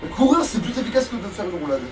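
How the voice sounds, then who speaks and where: A man's voice: sits high, big pitch swings, fast talker, far from the mic, poor audio. A man giving casual, sweary and exasperated commentary while he plays a video game. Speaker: let's player playing the game. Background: video game music.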